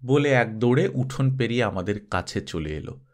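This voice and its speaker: A man reading a story aloud as an expressive scripted narration. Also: mid-range voice, normal speed.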